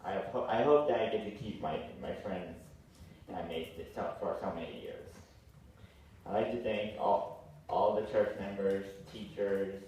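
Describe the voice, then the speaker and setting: A young man of graduating age gives a short speech in a slightly deep voice. He halts often, restarting words and repeating phrases. The sound is very echoey and picked up from a distance, with a little noise. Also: a little mellow. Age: late teens, young adult. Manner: halting, stumbles over words and repeats phrases. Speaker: graduating senior giving a speech. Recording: very echoey hall, distant mic, faint noise.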